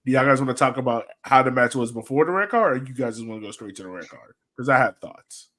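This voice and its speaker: A man with a medium-pitched voice hosts a soccer podcast. He talks in a loose, casual way, like someone chatting with fellow fans. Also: lively intonation, fast, fairly bright tone. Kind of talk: casual chat.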